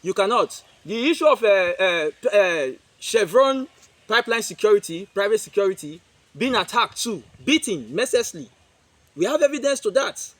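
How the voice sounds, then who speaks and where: A man's voice: high voice, animated, moderate pace, fairly bright tone, no room sound. A man with a Nigerian accent giving an emphatic press statement, repeating himself and posing rhetorical questions. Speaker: community group spokesman.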